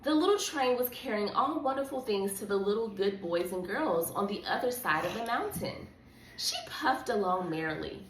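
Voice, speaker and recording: Woman, children's read-along host. Animated, encouraging storybook delivery. Roomy sound with faint noise. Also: mid-range voice, moderate pace, recorded from a distance. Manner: encouraging, coaxing. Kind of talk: expressive storybook reading with chat to a child. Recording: echoey room with background noise.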